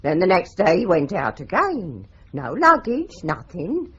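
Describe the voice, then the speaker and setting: A woman's voice: fairly low pitch, unhurried, slightly rough, full character voice. Chatty older landlady. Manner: chatty, lively, runs on with advice. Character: talkative older landlady, gossipy and stern. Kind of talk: radio drama acting. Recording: dull lo-fi broadcast recording.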